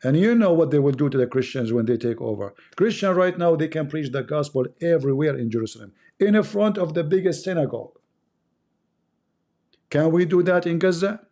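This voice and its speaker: A man's voice: fairly high pitch, lively intonation, brisk, mellow. A man from the Middle East whose first language is Arabic, speaking English with an Arabic accent as he delivers an impassioned religious monologue on his livestream. He talks emphatically and preaches, repeating phrases for effect. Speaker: livestream host.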